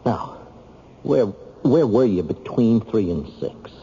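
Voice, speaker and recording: A man's voice: on the low side, animated, slow pace, mellow, husky, heavily acted. An actor playing a sober, practical city councilman in his thirties, questioning his brother insistently in an old-time radio drama. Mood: probing. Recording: dull, lightly noisy old radio recording.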